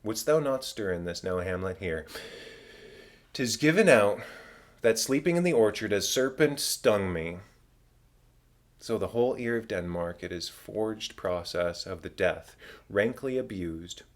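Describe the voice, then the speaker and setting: A man voicing the ghost of a murdered king, a solemn, tormented, vengeful father declaiming in drawn-out phrases in a Shakespeare audiobook. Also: on the low side, animated. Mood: bitter, grieving. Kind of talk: dramatic Shakespeare audiobook reading.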